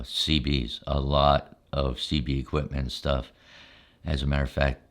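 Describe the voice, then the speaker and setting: Very deep-voiced older man, ASMR storytelling. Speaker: ASMR storyteller, channel host. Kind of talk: relaxed ASMR reminiscing, unscripted storytelling.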